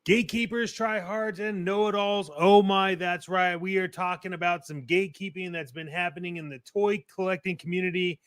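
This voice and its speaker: A man with a fairly high voice hosts a show in casual, conversational talk. He is playful and given to mock-grand flourishes. The sound is dry, with very little room in it. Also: steady tone, normal speed, few pauses, a bit bright, dry recording. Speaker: podcast host. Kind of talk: casual chat hosting a show.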